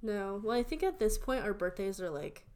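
A woman's voice: mid-range voice, very animated, moderate pace, a little mellow. A woman in her twenties co-hosting a podcast in a casual chat, telling stories in an informal way peppered with "like". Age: 20s. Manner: chatty, informal storytelling with frequent "like". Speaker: podcast co-host.

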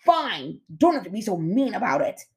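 A woman's voice: mid-range voice, very animated, moderate pace, vocal fry, a little mellow, pitched above the performer's own voice. A woman voicing a sulky little brother bear in a storybook, whiny, defensive and blustering. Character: sulky little brother bear who echoes his sister.

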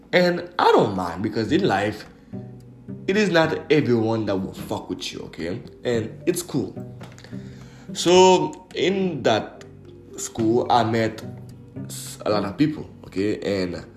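A young man hosts a solo podcast, talking loosely with plenty of fillers and swearing. His voice sits low. The room is echoey, and faint guitar music plays underneath. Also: a little mellow. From Haiti. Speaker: podcast host. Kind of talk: casual solo podcast storytelling.

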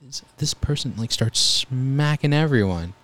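A young man telling EMT stories in a relaxed, calm voice during a casual chat. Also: mid-range voice, a little slow. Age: young adult. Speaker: guest, EMT volunteer.

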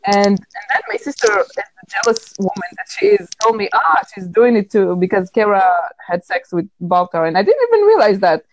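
Woman chatting, lively pitch. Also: fairly quick, hardly any pauses, no echo, rough recording. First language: Hebrew. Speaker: podcast co-host. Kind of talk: casual chat.

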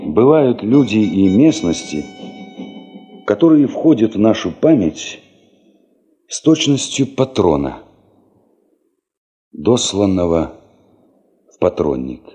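A man narrating a Soviet radio play in a measured, reflective literary style. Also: on the low side, wildly swooping pitch, mellow, soft background music.

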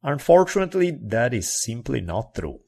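A man with an Italian accent hosting a podcast and chatting in a relaxed, expressive way. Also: medium pitch, moderate pace, creaky, slightly rough. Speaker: podcast host. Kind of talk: casual podcast chat.

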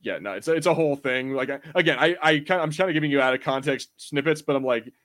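A man with a medium-pitched voice talks as a podcast host in casual conversation. He rambles loosely and irreverently, dropping swear words as he goes. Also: fast, fairly bright tone. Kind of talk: casual chat about fantasy film and TV.

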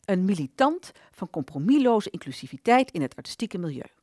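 A woman in a Dutch radio play voicing an aborted idea, a bitter vanished thought, telling its tale dramatically with slow emphases. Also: mid-range voice, very animated, dark tone, no echo, studio quality. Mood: proud.

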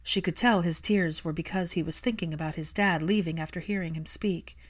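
A woman's voice: lowish voice, a little fast. A woman reading scripted fiction aloud as an audiobook narrator.

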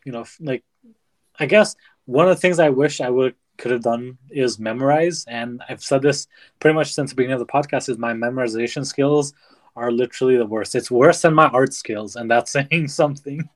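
A man's voice: medium pitch, normal speed. Casual young male podcast co-host. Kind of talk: casual chat.

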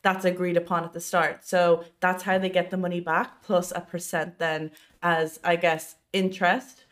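A woman's voice: on the low side, little pitch movement, normal speed. A woman in casual podcast conversation, explaining things informally and thinking aloud as she goes. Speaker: podcast speaker, business owner.

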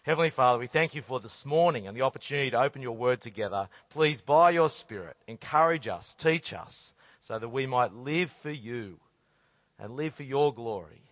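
A middle-aged man with a hoarse, croaky voice, preaching a sermon with earnest rhetorical questions. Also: mid-range voice, moderate pace, a bit bright, dry recording. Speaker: conference speaker.

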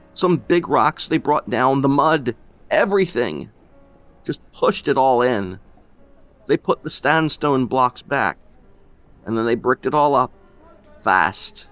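A man voicing a grieving older rural man who talks in halting, choked bursts and breaks off mid-sentence. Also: mid-range voice, a bit bright, pitched above the performer's own voice.

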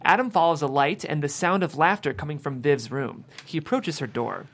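A man's voice: a little high, normal speed, fairly bright tone. A man narrating the stage directions at a screenplay table read.

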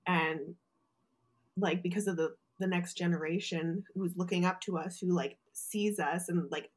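A woman's voice: on the low side, fairly even intonation, normal speed, husky. A young adult woman speaking as a podcast guest, candid and outspoken in casual conversation. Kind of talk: casual interview chat.

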